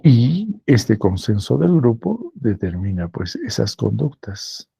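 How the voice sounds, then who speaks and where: Slow, gravelly Peruvian professor. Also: male voice, medium pitch, very animated, warm tone, dry recording. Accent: Peruvian Spanish. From Peru. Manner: slow, deliberate, repeats phrases for emphasis. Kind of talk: lecture.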